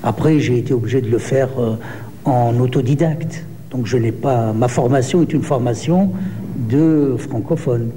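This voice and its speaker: A man in his seventies, born in Algeria, answering interview questions slowly with many hesitations. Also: medium pitch, long reverb tail, background noise. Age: 70s. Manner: slow, hesitant, many pauses and fillers. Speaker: poet.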